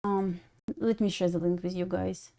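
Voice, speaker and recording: A woman's voice: fairly low pitch, little pitch movement, normal speed, vocal fry, mellow. A woman from Belarus with a Russian accent, casually commenting on a live trading stream, thinking aloud and answering chat. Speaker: day-trading livestream host.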